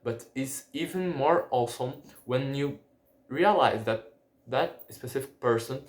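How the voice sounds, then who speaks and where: Slow, halting Brazilian-accented young man. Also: medium pitch, reverberant. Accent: Brazilian Portuguese. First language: Portuguese. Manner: halting, many restarts and repeated words. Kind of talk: casual personal monologue.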